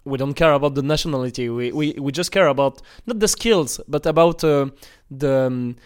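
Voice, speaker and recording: Host, male, French native, smooth clear tone, halting casual questions. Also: on the high side. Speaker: podcast host. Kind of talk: casual interview talk.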